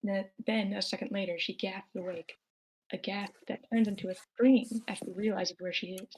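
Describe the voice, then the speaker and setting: A woman narrates a screenplay in a live online table read. Her voice sits at a medium pitch as she reads out scripted action. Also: fairly even intonation, moderate pace, a little mellow, poor audio. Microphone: remote online meeting.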